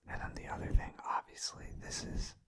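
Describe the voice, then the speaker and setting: A man speaking in a breathy, unvoiced whisper, rambling casually and hesitantly in an ASMR style. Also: brisk. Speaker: ASMR creator.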